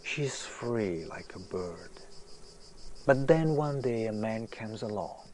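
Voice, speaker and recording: An actor playing a self-doubting, weary writer in an audio drama, musing and hesitant, often hushed. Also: male voice, lowish voice, expressive, slow. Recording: noisy outdoor ambience, birds and insects.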